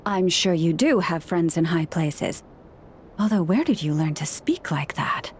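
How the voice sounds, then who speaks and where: A woman voicing a gentle young woman who hears animals, speaking softly and patiently with a slightly wry touch. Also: on the low side, animated, a little slow, slight background noise. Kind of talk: game character dialogue.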